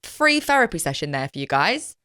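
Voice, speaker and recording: A young woman hosting a podcast, talking in a chatty, animated, self-deprecating way. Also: fairly low pitch, fairly quick, clean tone, dry recording, studio quality. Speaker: podcast host. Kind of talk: casual chat.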